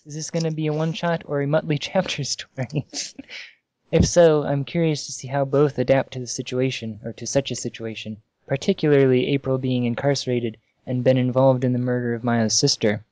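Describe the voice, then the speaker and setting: A man casually reading amateur fan fiction aloud on a podcast, halting and amused, with asides. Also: mid-range voice, flat delivery, warm tone, no room sound.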